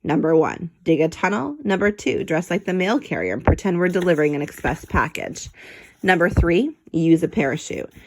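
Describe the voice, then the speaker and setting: A clever, self-assured rat detective from a children's storybook, acted out by a woman. He speaks in a grandiose, commanding way, with excitable swings of pitch. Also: moderate pace, low-quality recording. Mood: confident, scheming.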